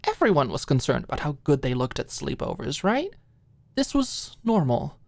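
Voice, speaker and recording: A woman's voice: low voice, very animated, moderate pace, creaky, darkish tone. A woman reading scripted fiction expressively as an audiobook narrator in an ASMR style.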